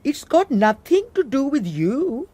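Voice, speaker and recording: A sly, gossiping servant. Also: female voice, sits high, sing-song, measured pace, warm tone, no room sound. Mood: teasing. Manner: mocking, gossipy. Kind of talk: radio drama acting.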